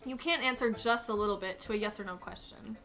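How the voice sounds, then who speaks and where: Bright joking woman streamer. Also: mid-range voice, quick pace, soft background music. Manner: joking, laughs often. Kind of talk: casual gameplay commentary.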